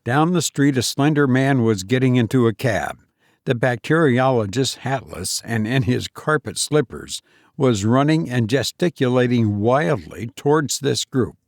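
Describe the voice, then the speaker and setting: Male audiobook narrator, measured delivery, some gravel in the voice, very dry sound. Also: mid-range voice, animated, no echo. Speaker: audiobook reader. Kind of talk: scripted storytelling narration with character voices.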